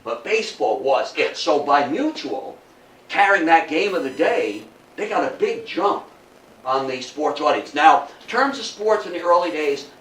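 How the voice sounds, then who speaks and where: A man's voice: on the high side, big pitch swings, unhurried, a bit bright. A man with an American accent giving a history lecture to an audience, speaking emphatically, repeating phrases for effect and putting questions to listeners. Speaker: guest lecturer. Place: indoor room in front of an audience. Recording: roomy, distant mic, faint background noise.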